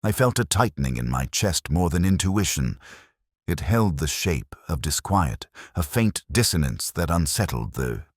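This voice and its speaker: Really deep older guy reading a detective story, measured and solemn, voice moves up and down a lot. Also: very animated, no room sound, studio quality. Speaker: narrator. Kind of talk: scripted first-person detective story narration.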